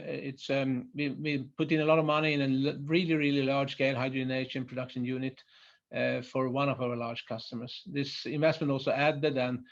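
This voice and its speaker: A man with a Swedish accent presenting his company's site in a webinar, hesitant, with many fillers and restarts. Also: mid-range voice. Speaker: site manager presenting a chemical plant. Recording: muffled lo-fi webinar audio.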